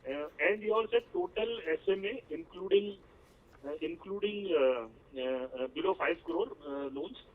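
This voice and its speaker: A man asking questions as an analyst on an earnings call, halting and polite, over a phone line. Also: high-pitched. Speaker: financial analyst caller. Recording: tinny, noisy, distant phone line.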